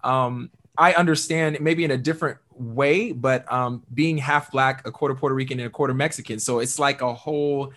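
A young man hosts a conversational podcast with an upbeat, animated delivery, leaning on fillers as he talks. His voice sits at a middle pitch for a man, neither deep nor high. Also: moderate pace, few pauses. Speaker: podcast host. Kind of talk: conversational interview.

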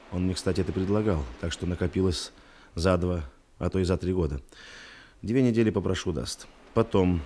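An actor playing a plain, restrained male police detective in a radio play, laconic and hesitant, with deliberate phrasing. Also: sits low, dark tone, a little hiss or hum. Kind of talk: Russian radio play acting.

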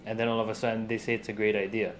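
A man's voice: on the low side, level tone, rapid-fire, a little hiss or hum. A man hosting a casual conversation, chatty and full of "like" and "right?".

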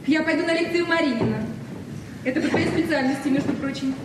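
A woman's voice: high-pitched, a little fast, distant mic, close to an everyday voice. An actress playing a breezy, curious teenage daughter, girlish and cheeky, in a 1970s Soviet radio play. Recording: noisy, very echoey old radio recording.

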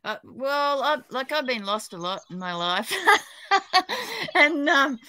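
A woman's voice: high-pitched, big pitch swings, slow pace, bright, forceful tone, no echo. An Australian woman from New South Wales, a psychic and dowser, chatting in a rambling, talkative way and laughing often. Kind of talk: casual podcast chat.

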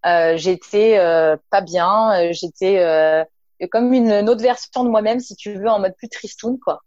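A woman's voice: mid-range voice, unhurried, a little mellow, no echo, highs cut off. A woman from Nice, a naturopath and entrepreneur, talking casually in an interview with frequent hesitations and an informal tone. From Nice, south of France. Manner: chatty, many fillers, addresses listener informally.

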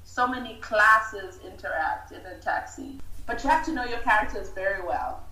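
A woman's voice: medium pitch, animated, normal speed, sharp tone. A woman from Uganda with an international English accent that is hard to place, reflecting on her work as a writer and director in an interview and thinking aloud as she goes. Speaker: guest, film writer and director. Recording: roomy echo, distant microphone.

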